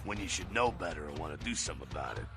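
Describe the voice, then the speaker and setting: Gravelly cynical vampire rebel for a game, swingy slangy delivery, music behind. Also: male voice, rumbling low, big pitch swings, racing, full character voice. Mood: preachy, mocking. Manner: streetwise, slangy, mocking. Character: gruff, cynical old-hand male vampire rebel, streetwise and mocking. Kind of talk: video game character acting.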